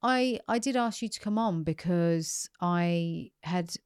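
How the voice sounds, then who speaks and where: A woman hosts an interview podcast in a chatty, encouraging manner, full of small fillers. Her voice is very low for a woman, and the sound is dry and close. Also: slow, a little mellow, clear, resonant tone, no echo. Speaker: podcast host. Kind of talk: interview hosting.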